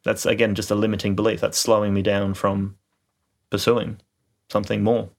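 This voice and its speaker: A man's voice: fairly low pitch, flat delivery, normal speed, gravelly. A man co-hosting a casual podcast, talking reflectively with many fillers and restarts. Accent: non-standard, mocked as a kid. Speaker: podcast co-host, YouTuber.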